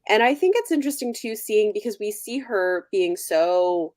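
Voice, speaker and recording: A woman's voice: medium pitch, lively intonation, normal speed, darkish tone, dry recording, studio quality. A woman chatting casually and thoughtfully as a panelist in a book discussion. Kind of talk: casual chat.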